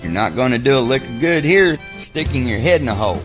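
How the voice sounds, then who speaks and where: An actor playing a folksy, crude, wisecracking buddy in an audio drama, blunt and game for a fight. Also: male voice, medium pitch, big pitch swings, brisk, music in the background, close to an everyday voice. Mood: blunt, goading.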